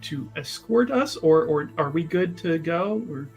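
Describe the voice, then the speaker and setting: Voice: medium pitch, expressive, measured pace, darkish tone, natural voice. A player voicing a flamboyant, rambling male mage who stammers and gushes in improvised tabletop roleplay, with music behind. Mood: flustered, gushing. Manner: hesitant, stammering, effusive.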